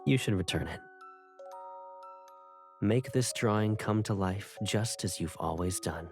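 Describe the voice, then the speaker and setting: An actor playing a teasing, gentle young man in a video game. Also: fairly low pitch, unhurried. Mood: calm, earnest. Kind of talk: video game dialogue acting. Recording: music behind the voice. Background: background music.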